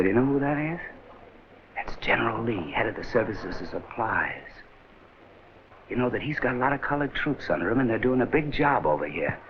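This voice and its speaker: A man's voice: mid-range voice, close to an everyday voice. A man acting as a plain-spoken American soldier who guides troops in an earnest, informal way, in a 1940s training film. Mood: confiding. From United States. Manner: folksy, earnest, explanatory. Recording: noisy, muffled 1940s film soundtrack.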